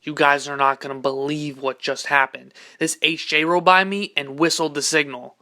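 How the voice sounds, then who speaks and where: High, excitable young swing kid played by a man. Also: normal speed. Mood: excited.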